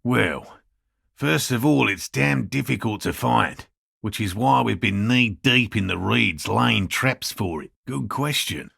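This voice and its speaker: Game role: obsessive, courteous male field scientist, husky and crackling, lively pitch, fussy scholarly delivery. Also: takes it slowly, dry recording, clean studio sound, exaggerated voice. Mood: explaining, scholarly. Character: earnest eccentric male cryptozoologist scientist, obsessive but courteous. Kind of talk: video game dialogue voice lines.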